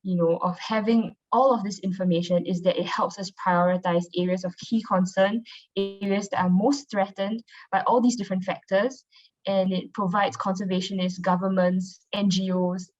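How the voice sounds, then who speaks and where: A woman's voice: medium pitch, fairly even intonation, normal speed, a little mellow. A young woman giving an informal, conversational presentation as a marine biologist over a video call. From Singapore. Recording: dull, lo-fi online call audio.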